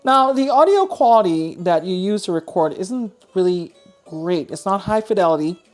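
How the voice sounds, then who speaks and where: A woman's voice: medium pitch, lively intonation, moderate pace, faint music behind. A woman giving a casual, chatty product review, thinking aloud as she demonstrates. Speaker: host of a radio review channel.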